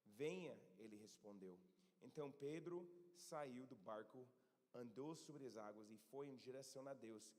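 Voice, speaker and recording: Male pastor, mid-pitched, preaching haltingly in a reverberant church. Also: a little mellow. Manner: halting, searching for words, self-correcting. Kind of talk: sermon with scripture reading. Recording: long church hall reverb.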